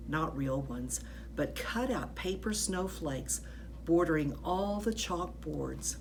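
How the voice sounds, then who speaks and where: A woman reading a children's story aloud in an expressive, deliberate, dramatic way. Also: lowish voice, slight background noise, low-quality recording. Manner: dramatic, deliberate phrasing, drops into whispers. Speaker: school staff reader of children's books.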